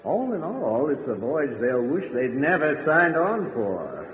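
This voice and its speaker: A man's voice: medium pitch, big pitch swings, unhurried. A man playing a ghoulish, gleefully macabre radio horror host, with a theatrical, punning delivery. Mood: macabre glee. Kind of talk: old-time radio horror introduction. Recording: dull radio sound with long reverb.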